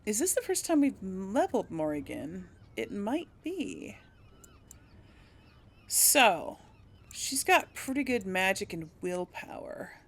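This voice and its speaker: A woman's voice: mid-range voice, very animated, takes it slowly. A woman giving casual game commentary as a let's play host, chatting and thinking aloud. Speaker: let's play host, role-playing a character.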